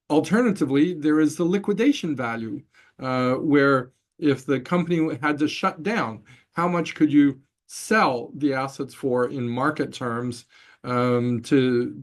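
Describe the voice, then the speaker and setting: Halting male finance lecturer. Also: medium pitch. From Brazil. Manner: halting, many fillers and restarts. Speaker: finance professor. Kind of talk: online masterclass lecture.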